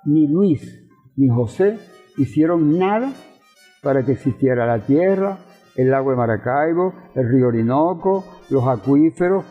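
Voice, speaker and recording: A man's voice: mid-range voice, expressive, measured pace, breathy edge. An older man with a Venezuelan accent, explaining and reminiscing in a conversational interview, emphatic and given to repeating himself. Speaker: interview guest, professor and politician. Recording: roomy reverb, thin phone-like sound, faint music.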